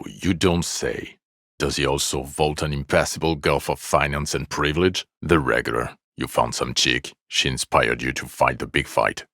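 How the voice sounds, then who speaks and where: A bitter, sardonic male police detective from a video game. The voice sits extremely low, with heavy gravel and fry, and delivers blunt lines with weary deadpan sarcasm. The sound is close and dry. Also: no echo, heavily acted. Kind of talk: dramatic video game dialogue.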